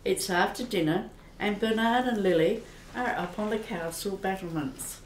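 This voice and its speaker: A woman giving measured, descriptive narration for a scripted radio play. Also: medium pitch, loud music behind the voice. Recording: echoey room.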